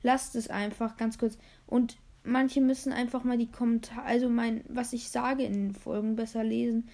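A young woman hosting a casual gaming podcast, hoarse, rambling with many fillers while she plays a game. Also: a little high, flat delivery, normal speed, warm tone. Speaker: podcast host.

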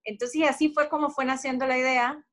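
A woman's voice: high-pitched, fairly even intonation, brisk, fairly bright tone. A Honduran woman who owns a tea business, answering interview questions in an earnest, step-by-step way and giving advice. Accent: Honduran Spanish.

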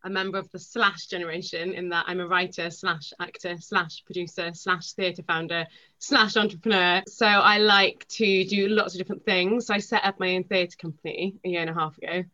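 A woman's voice: fairly low pitch, steady tone, fairly quick, bright, forceful tone, muffled recording. A woman from Wales chatting openly and casually as a podcast guest. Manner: chatty, open. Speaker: podcast guest, writer and actor. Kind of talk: candid, casual interview chat.

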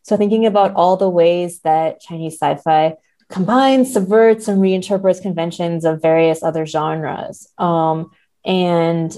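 A woman's voice: fairly low pitch, steady tone, unhurried, dark tone. A woman, an academic guest on a podcast, talking in an articulate, scholarly way. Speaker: academic guest, professor and literary translator. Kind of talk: podcast interview, explaining research.

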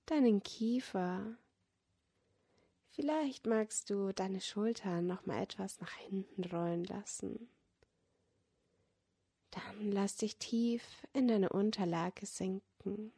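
A woman leading a guided yoga nidra relaxation as a meditation guide, speaking in a gentle, soothing manner that often drops close to a whisper. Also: medium pitch, slow, vocal fry, long pauses, breathy edge. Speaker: meditation guide and podcast host.

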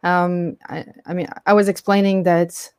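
A woman's voice: on the low side, flat delivery, unhurried, a little mellow, smooth, no echo, studio quality. A woman from France with a French accent, answering interview questions as a startup founder on a video call, with many fillers and restarts. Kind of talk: online interview talk.

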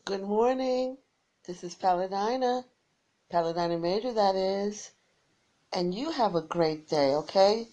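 A tired woman who is losing her voice, rambling through friendly shout-outs to listeners on her small internet radio station. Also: medium pitch, unhurried, rough recording. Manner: rambling and warm, repeats her sign-off.

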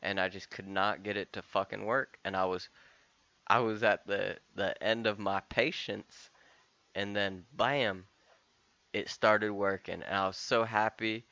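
A man's voice: on the low side, fairly even intonation, a little slow, pushed and bright, dry recording, highs cut off. A man of about thirty talking casually and candidly in a rambling solo podcast monologue, often restarting and swearing. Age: 30s. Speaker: podcast host.

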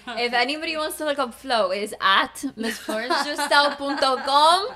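A woman's voice: sits high, normal speed, crowd noise. A young adult woman hosting a casual chat show, upbeat and teasing, laughing often between lines. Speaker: host.

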